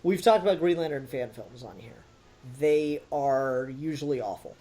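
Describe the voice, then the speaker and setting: A man's voice: a little high, moderate pace, mellow. A man co-hosting a review podcast, talking casually and critically in an emphatic, opinionated way.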